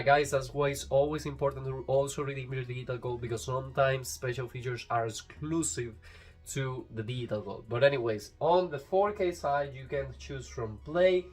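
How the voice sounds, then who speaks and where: A man's voice: mid-range voice, normal speed, faint music behind. Male YouTube reviewer, native Spanish speaker with a Latin American accent, eager and rambling, mid-range voice. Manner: enthusiastic, rambling with fillers and restarts. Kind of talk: casual unboxing review.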